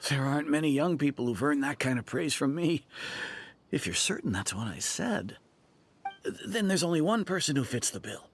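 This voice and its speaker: A man's voice: on the high side, animated, moderate pace, slightly rough. An actor playing a kindly, self-assured older village man in a video game, with an emphatic, plain-spoken way of talking. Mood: confident, helpful. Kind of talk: video game character acting.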